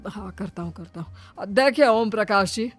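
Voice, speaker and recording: A woman's voice: mid-range voice, moderate pace, no echo, soft background music, natural voice. A woman playing a fussy middle-aged father-in-law in a cartoon story, questioning and remonstrating indignantly.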